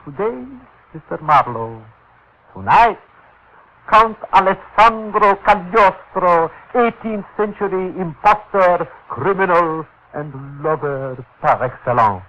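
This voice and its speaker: A man's voice: sits high, lively intonation, takes it slowly, sharp tone, full character voice. A man playing a fussy, proud costume-shop owner with a florid, grandiose manner, in 1940s radio style. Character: fussy, theatrical male costume-shop proprietor, proud showman. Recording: slightly dull old radio recording.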